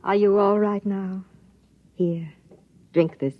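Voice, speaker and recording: A woman's voice: mid-range voice, steady tone, slow pace, slight background noise, natural voice. Woman acting composed rescuer, dull old radio sound. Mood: calm, firm. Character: strong, calm woman rescuer from a relief ship. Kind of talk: mid-century radio drama acting.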